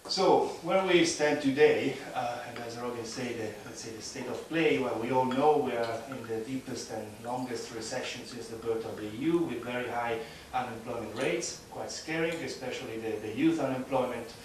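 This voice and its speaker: A man giving a conference presentation, speaking haltingly with many fillers and restarts. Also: medium pitch, a little hiss or hum. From Italy. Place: large conference hall. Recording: echoey hall, speaker far off.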